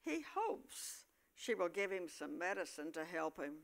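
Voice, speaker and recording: A woman narrates an amateur play from a script. Her voice is fairly low and lively in pitch, and she takes her time with each phrase. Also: measured pace, creaky, very noisy.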